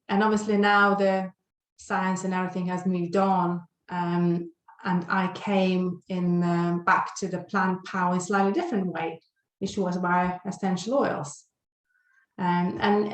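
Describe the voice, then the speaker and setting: A woman's voice: on the low side, reverberant. A woman in her forties from Lithuania, a guest on a podcast interview, talking with many fillers and restarts. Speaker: guest, health and well-being coach.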